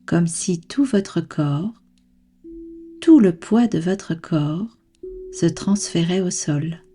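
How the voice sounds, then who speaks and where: Slow, mellow woman guiding relaxation. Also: fairly low pitch. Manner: calm, soothing, measured instructions with long pauses. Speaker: guided meditation instructor. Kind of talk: guided yoga nidra relaxation.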